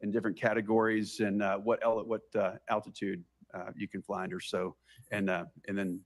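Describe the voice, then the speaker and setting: An American man questioning a witness as a senator at a formal hearing, brisk and pressing with halting pauses. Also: fairly low pitch, flat delivery.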